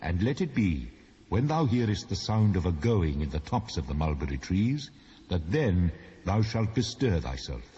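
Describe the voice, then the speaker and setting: A man's voice: low-pitched, sing-song, normal speed, mellow. A British man playing God as a solemn, commanding deity in a dramatised Bible reading, with weighty, declamatory delivery. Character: God, commanding solemn male deity giving battle orders. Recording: dull cassette, long reverb, noisy.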